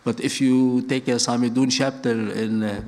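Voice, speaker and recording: A man's voice: mid-range voice, fairly even intonation, moderate pace, darkish tone. A middle-aged man who grew up in Lebanon and speaks natively, giving an earnest public talk with frequent restarts and repeated phrases. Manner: earnest, building points through repetition, frequent restarts.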